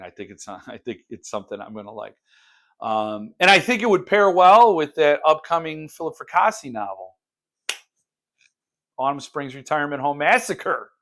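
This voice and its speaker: A man's voice: a little high, a bit bright. Casual man chatting as a book vlogger. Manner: informal, halting, thinking aloud. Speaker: host of a book unboxing channel. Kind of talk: casual unscripted book vlog chat.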